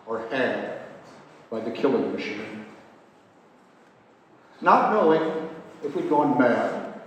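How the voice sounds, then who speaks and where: An elderly man gives a live poetry reading in a reverberant hall, picked up from some distance. His delivery is slow and measured, with deliberate pauses between phrases. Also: mid-range voice, a little mellow. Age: 80s. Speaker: poet and author reading own work. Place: art gallery, live reading event. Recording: very echoey hall, distant microphone, faint noise.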